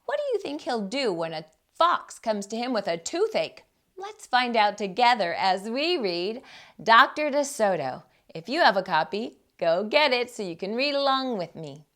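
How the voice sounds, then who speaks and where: A woman hosting a children's storytime, reading a picture book aloud in an expressive narrating style. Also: fairly high pitch, moderate pace. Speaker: children's storytime host and reader.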